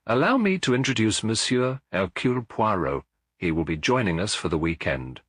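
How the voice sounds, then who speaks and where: A gracious young aristocratic hostess acted out by a man in a mystery audiobook. The voice is low and husky, lifting and falling freely, with formal, polite phrasing and a very dry, close sound. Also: expressive, moderate pace, fairly bright tone, no room sound. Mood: smooth, formal.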